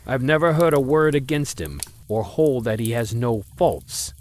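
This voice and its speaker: A man's voice: mid-range voice, lively intonation, measured pace, a little mellow, natural voice. An actor playing a stern, practical middle-aged father who lectures his daughter firmly. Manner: firm, paternal lecturing. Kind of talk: 1940s style radio acting.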